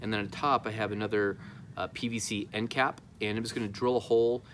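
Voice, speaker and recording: A man in his thirties with a fairly low voice chats informally to a camera in a backyard. His delivery is hesitant and casual. There is some noise in the outdoor recording. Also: level tone, fast talker, fairly bright tone. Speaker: amateur radio vlogger. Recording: clearly noisy outdoor recording.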